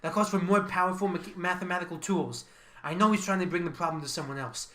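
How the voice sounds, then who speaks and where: A man's voice: sits high, fast talker, a bit bright. A man acting as an ambitious, resentful mathematician in a radio play. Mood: weary, curt. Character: ambitious, resentful male mathematician scientist eager for promotion.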